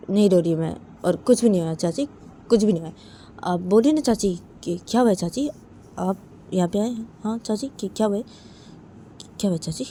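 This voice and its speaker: A young daughter-in-law in a domestic story, acted out by a woman narrator, flustered and insistent. Her protests climb high and repeat themselves, over noticeable background noise. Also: mellow, close to an everyday voice. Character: young daughter-in-law, tearful, insistently denying she cried.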